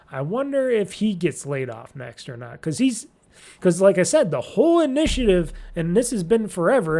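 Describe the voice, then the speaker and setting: A man with a somewhat high-pitched voice hosts a gaming podcast on his own. He comments casually on the news and rambles along informally, with some mild swearing. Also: darkish tone. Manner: rambling, informal, mildly profane.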